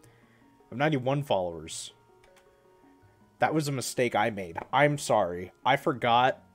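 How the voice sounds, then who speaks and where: A man's voice: mid-range voice, lively intonation, unhurried, soft background music. A young man chatting casually on a live stream, a bit congested from a cold, rambling and correcting himself as he goes.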